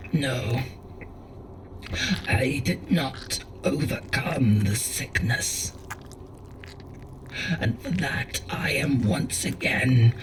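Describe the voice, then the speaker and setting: A performer in a dramatic ASMR roleplay playing a grave, defiant warlord battling sickness, with halting, theatrical delivery. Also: medium pitch, recorded from a distance, background noise. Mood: grim resolve.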